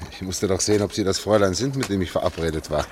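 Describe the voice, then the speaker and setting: Man acting low-voiced sardonic guide, water trickling. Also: fast, lightly acted. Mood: brisk, watchful. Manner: dry, brusque, mocking. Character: gruff, sardonic factory man guiding an escape. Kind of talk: radio drama acting. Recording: trickling water noise behind the voice. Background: trickling, dribbling water.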